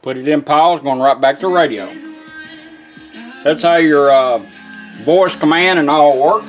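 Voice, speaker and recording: A man's voice: on the high side, unhurried, soft background music. A man giving a casual hands-on product demonstration in informal, folksy phrasing. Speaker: product demonstrator, car radio builder.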